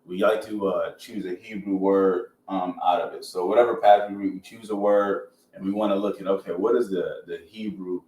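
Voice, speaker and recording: A man's voice: low voice, steady tone, brisk, few pauses, darkish tone. An American man hosting a podcast, moving between casual, slangy talk and reading aloud. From Georgia, United States. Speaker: podcast host. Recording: roomy echo, distant mic, faint noise.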